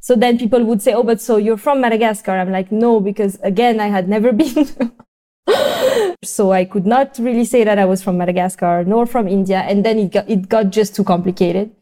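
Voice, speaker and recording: A woman's voice: medium pitch, a little fast, warm tone. A young adult woman from France, a podcast guest, telling her story in a hesitant, thoughtful way with many fillers and restarts. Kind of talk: conversational interview.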